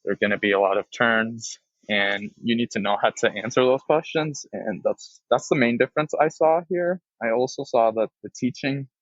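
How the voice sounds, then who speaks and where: A man's voice: medium pitch, normal speed, few pauses, dry recording. A man in his late teens from Dubai, a student guest explaining his experience informally. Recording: dull, dry lo-fi recording.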